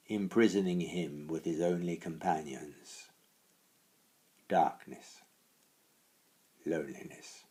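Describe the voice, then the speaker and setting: A man reading a dark storybook tale in a theatrical manner, moving between hushed and swelling phrases. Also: a bass voice. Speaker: author reading his own story. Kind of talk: dramatic storybook narration.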